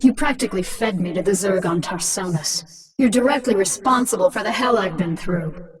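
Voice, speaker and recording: A ruthless, scheming queen of an alien swarm, acted by a woman for a video game. She gives commands coldly, at an ordinary female pitch. The voice sits back from the mic, with crowded noise behind it. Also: normal speed, distant mic, a crowd in the background, close to an everyday voice. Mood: mocking. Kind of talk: dramatic video game voice acting.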